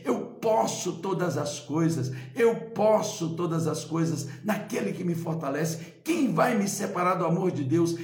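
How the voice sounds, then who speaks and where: A man's voice: high voice, gravelly, a little mellow, roomy sound. A male pastor preaching a sermon with emphatic, repeated exhortations.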